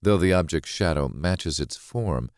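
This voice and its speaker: A man with an extremely low voice reads a thriller audiobook. His delivery is deliberate, brooding and dramatic, and the sound is dry and close. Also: animated, a little mellow, dry recording. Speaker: audiobook narrator. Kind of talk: first-person dramatic scripted narration of a thriller.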